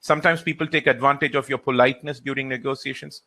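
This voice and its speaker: A trainer works through audience questions in a live session. His voice sits at a middle pitch, and his delivery is relaxed and halting, with many fillers. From India. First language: Hindi or Gujarati.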